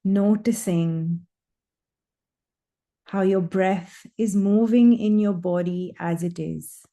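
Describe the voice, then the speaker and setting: Calm woman talking people through a breathing meditation, super slow, dark mellow voice. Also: medium pitch. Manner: slow, soothing and drawn out, with long pauses. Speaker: meditation guide.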